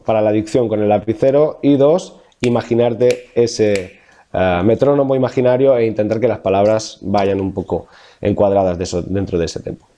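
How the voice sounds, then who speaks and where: A man with a Castilian Spanish accent from La Mancha, speaking in a lowish, mellow voice. He explains vocal exercises patiently, circling back with fillers and restarts as he thinks. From Spain, Castilla-La Mancha. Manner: thoughtful, many fillers and restarts, laughs easily. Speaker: vocal coach.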